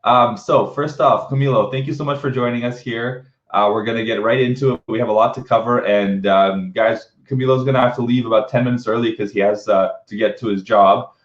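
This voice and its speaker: A man's voice: mid-range voice, fairly even intonation, fairly quick, hardly any pauses. A man hosting a casual live webinar interview in an upbeat, informal, chatty way. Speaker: webinar host. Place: indoor room, live online video call. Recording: roomy echo, dull lo-fi webcam sound.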